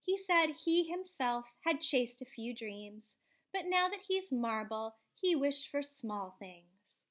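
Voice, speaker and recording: A woman reading a children's storybook aloud expressively, like a school librarian, with theatrical swells and hushed drops. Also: high voice, lively intonation, slow.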